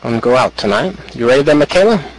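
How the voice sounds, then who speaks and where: A man's voice: medium pitch, expressive, racing, no echo, close to an everyday voice, poor audio. A man playing a father about to go out for the night, who talks hesitantly and rambles with lots of fillers. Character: easygoing dad heading out for the night. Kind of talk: amateur skit acting.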